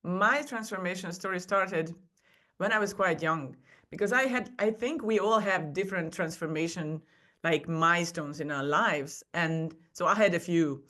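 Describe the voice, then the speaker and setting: A woman's voice: on the low side, moderate pace, bright, forceful tone. A woman who speaks English as a second language, telling personal stories as a guest on a podcast. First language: not English. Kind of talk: personal storytelling in a conversation.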